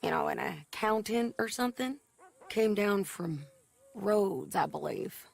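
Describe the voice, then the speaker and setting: A tough frontier bounty hunter who talks bluntly and plainly. Her voice is gravelly, in a middle range for a woman. She states things flatly and matter-of-factly. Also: medium pitch, a little slow, full character voice. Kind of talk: video game cutscene acting.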